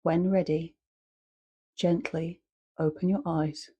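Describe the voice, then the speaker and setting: Slow, soft husky woman guiding meditation. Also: on the low side, dull audio. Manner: calm, measured, with long pauses between short phrases. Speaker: meditation and yoga teacher. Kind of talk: guided meditation.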